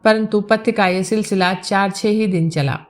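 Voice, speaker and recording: A woman's voice: mid-range voice, fairly even intonation, moderate pace. A woman reading a literary novel aloud as a scripted story narration. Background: background music.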